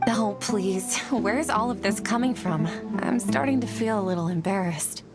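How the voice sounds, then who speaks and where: Earnest woman acting a desert scholar over loud music. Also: medium pitch, moderate pace, close to an everyday voice. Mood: bashful. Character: earnest female desert scholar turned idealistic teacher. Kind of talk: scripted video game dialogue.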